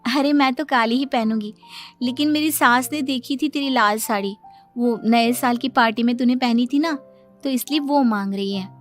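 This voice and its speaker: A woman's voice: on the high side, normal speed, soft background music, lightly acted. A woman voicing an innocent, polite young daughter-in-law in a story, speaking matter-of-factly. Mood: earnest. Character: meek, guileless young daughter-in-law who always blurts the truth.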